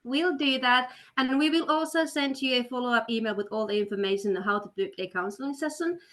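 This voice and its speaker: A woman's voice: a little high, a little fast, a bit bright. Upbeat woman hosting a webinar. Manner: upbeat, persuasive, with many fillers. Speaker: webinar host, study counselor. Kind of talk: webinar presentation. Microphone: video call.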